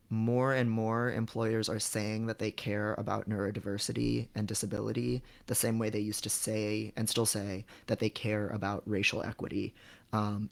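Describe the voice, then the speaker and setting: Low-pitched man chatting on a livestream. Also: creaky. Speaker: livestream host giving job advice. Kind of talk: casual livestream chat, advising.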